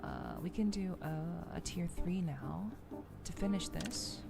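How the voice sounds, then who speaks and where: Slow, murmuring woman, soft ASMR streamer. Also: fairly low pitch, vocal fry. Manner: murmured, half-whispered, hesitant, thinking aloud while playing. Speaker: VTuber livestream host. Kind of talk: soft-spoken ASMR gaming stream, casual chat with viewers. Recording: loud music behind the voice. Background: music.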